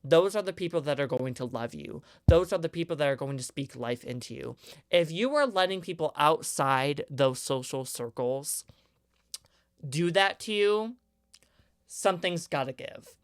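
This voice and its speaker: A man's voice: expressive, normal speed, clean tone, no echo, clean studio sound. Earnest young man ranting on his podcast. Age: 20s. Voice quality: higher-pitched voice. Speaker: podcast host. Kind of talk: solo podcast monologue.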